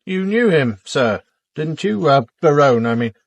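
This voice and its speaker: A deferential British police detective in a radio drama. His voice sits a little high for a man and is recorded dry, with a conversational, questioning, respectful delivery toward his superior. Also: lively intonation, a little slow, no room sound. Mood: inquisitive. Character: deferential, inquisitive male police detective subordinate. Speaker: supporting actor.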